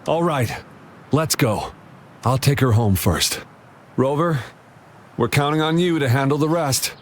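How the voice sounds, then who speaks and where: A man playing a kindly young soldier who is gentle with children, in a video game. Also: mid-range voice, animated, unhurried, slight background noise, lightly acted. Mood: reassuring.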